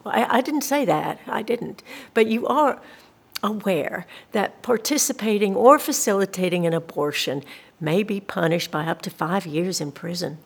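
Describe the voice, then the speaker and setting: A woman acting in a radio drama as a prim, pious, coolly menacing female investigator with a formal, measured, officious manner. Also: mid-range voice, creaky, breathy edge. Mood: probing, formal. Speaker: actor in radio play. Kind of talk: naturalistic audio drama acting.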